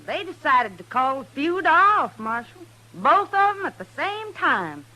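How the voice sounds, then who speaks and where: High, bright hillbilly wife. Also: female voice, very animated, a little slow, full character voice, poor audio. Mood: insistent. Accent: American rural hillbilly. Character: stubborn, plain-spoken hillbilly wife. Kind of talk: old-time radio western acting.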